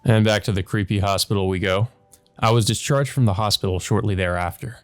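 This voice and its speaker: A man's voice: lowish voice, a little fast. A man doing a let's play, reading a visual novel's narration aloud. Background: background game music.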